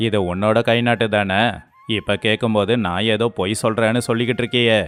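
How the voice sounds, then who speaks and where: A greedy, cunning moneylender from a village moral tale , acted by a man. The voice is low and cleanly resonant. He talks down to people with a sneering, domineering manner. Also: steady tone, fast, a bit bright, smooth, close to an everyday voice. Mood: mocking, accusing. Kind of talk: dramatized moral story voice acting.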